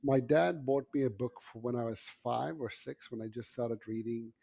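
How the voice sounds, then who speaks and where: Husky South African man telling stories. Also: mid-range voice, normal speed, dull audio. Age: 40s. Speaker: podcast guest, entrepreneur. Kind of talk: casual personal storytelling in an interview.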